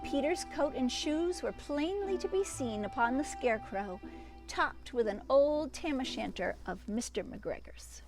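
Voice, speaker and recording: A woman's voice: high voice, animated, a little slow, music in the background. A woman reading a children's storybook aloud expressively, breaking off for chatty asides to young listeners.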